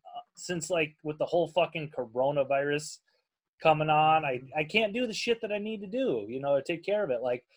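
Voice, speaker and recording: A man with a Minnesotan accent chats casually as a podcast host. His voice sits a bit high for a man, and he rambles along with plenty of swearing. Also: fairly quick. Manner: rambling, heavily profane. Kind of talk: casual chat.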